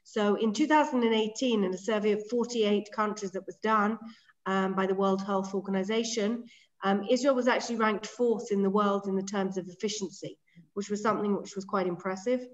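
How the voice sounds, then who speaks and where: A woman's voice: mid-range voice, steady tone, a little fast. English woman lecturing over a muffled call. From England. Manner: clear and organised, with frequent ums. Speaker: medical director giving a webinar lecture. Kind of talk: presenting a lecture. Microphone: video call.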